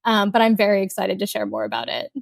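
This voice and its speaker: A young woman from Kuwait, an author talking casually in an interview, chatty with many fillers and soft laughs. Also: mid-range voice, little pitch movement, quick pace, vocal fry, no room sound, studio quality. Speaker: author guest.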